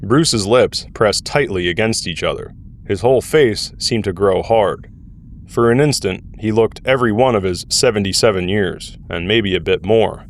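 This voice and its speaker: A man's voice: fairly low pitch, normal speed. A man reading scripted story narration for an audio drama. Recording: faint ambient noise behind.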